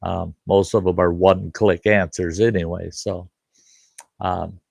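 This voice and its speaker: A hoarse older man hosts a relaxed live stream, his low voice rough and gravelly. He talks slowly and haltingly, wandering between thoughts with plenty of fillers, recorded dry and close. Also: no room sound. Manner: halting, rambling, many fillers. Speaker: livestream host. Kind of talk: casual live stream chat.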